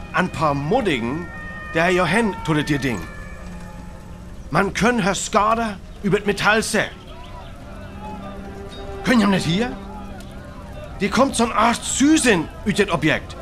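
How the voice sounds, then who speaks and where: A man playing an urgent, probing radio reporter giving a dramatic live report in a radio play. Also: high voice. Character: excitable male radio reporter at a crash site.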